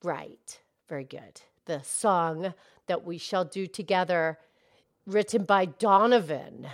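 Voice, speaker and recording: A woman voicing a gentle female cow in a low, soft, deliberate voice, sometimes reading a tale aloud in character. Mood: hushed. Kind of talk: storybook narration in character.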